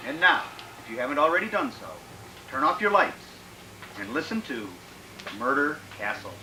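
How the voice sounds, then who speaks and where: A man's voice: on the high side, sing-song, moderate pace, heavy background noise. A man playing a solemn horror radio announcer with dramatic phrasing. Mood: foreboding. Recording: distant stage recording. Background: loud dramatic music.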